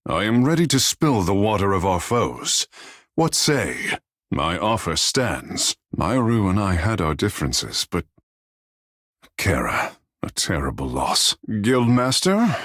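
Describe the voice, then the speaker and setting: An actor playing a flamboyant, self-admiring godlike water shaper in a fantasy video game, speaking in a grandiose, playful, theatrical manner. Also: male voice, fairly low pitch, husky, no room sound, studio quality, full character voice. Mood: earnest.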